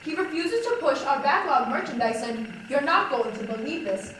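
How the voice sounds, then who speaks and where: A young woman acting as a fussy, emphatic store supervisor in a 1940s radio-style play. Her voice is very high and sharp, carrying from far away in a strongly echoing hall. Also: animated, moderate pace. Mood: indignant. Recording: echoey hall from far off, faint noise.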